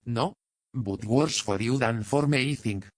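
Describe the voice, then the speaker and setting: A heavyset, arrogant Spanish planter played by a woman narrator in a storybook romance, pitched well down from her own voice. He is blunt and menacing, with a mocking sneer and a Spanish accent, recorded close and dry. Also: a little slow, dry recording.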